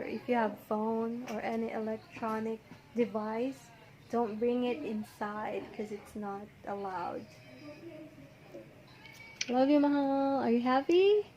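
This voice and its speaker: A woman from the Philippines with a Filipino accent, telling a story in a casual, chatty, animated and giggly way. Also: on the high side, slow, poor audio. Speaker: vlogger recounting her visa experience. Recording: faint background noise.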